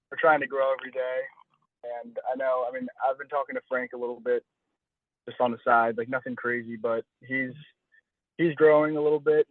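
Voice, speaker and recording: A man's voice: mid-range voice, dry recording. A young man, a college athlete being interviewed, speaking casually over a phone call and often restating his phrases as he goes. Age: young adult. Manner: hedging, self-correcting, restating phrases. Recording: dull, lo-fi phone call audio.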